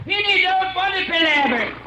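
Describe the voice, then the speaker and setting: An actor playing a suspicious old backwoods hermit in a radio drama, with a cracked voice and a folksy rural American drawl. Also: male voice, very high voice, lively intonation, normal speed, background noise. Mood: wary, gruff. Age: older. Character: suspicious old backwoods hermit with a shotgun, detective-magazine fan. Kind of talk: 1940s-50s radio acting. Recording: muffled old radio recording, echoey.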